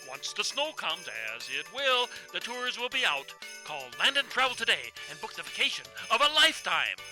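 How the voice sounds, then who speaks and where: High-pitched slick travel salesman. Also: very animated, normal speed, sharp tone, soft background music, heavily acted, poor audio. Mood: slick, hard-sell. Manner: showy, hard-sell, theatrical. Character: brash, slick travel agent pitching holiday tours. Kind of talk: parody commercial pitch, radio comedy acting.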